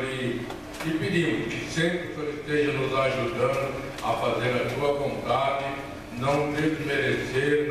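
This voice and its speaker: A man with a Brazilian accent leads a prayer in his own words. The sound is strongly echoing, from far off in a large hall, with noticeable noise. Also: medium pitch. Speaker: church member leading prayer. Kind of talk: spontaneous spoken prayer. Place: large church hall, indoors. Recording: very echoey church hall, distant, noisy.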